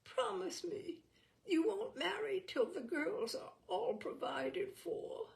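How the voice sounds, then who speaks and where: Lady doing a dying old mom in an audiobook story, thin high weak voice, slow and begging. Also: sing-song, vocal fry, full character voice. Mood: weak pleading. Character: frail dying old mother, pleading and insistent.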